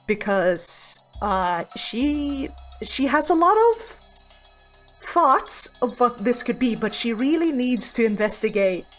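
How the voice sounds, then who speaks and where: A woman speaks slowly over background music as a player in a tabletop roleplaying game. She tells her character's story casually, musing and pausing to think. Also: a little high.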